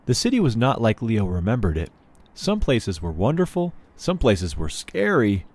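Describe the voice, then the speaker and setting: Very animated man reading a storybook. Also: on the low side, moderate pace. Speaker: children's book reader. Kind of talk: storybook read-aloud narration.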